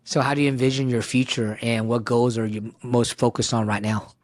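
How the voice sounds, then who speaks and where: A man's voice: medium pitch, level tone, brisk. A man hosting an interview, asking questions in an upbeat way and often repeating words as he starts a phrase. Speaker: podcast host, interviewer.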